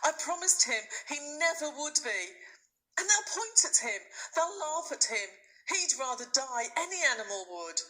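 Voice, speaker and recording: Woman as young storybook boy, high pitch, upset, pleading, emphatic, bright and forceful. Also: big pitch swings, unhurried, full character voice, rough recording. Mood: desperate pleading. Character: upset, pleading seven-year-old boy, protesting fiercely.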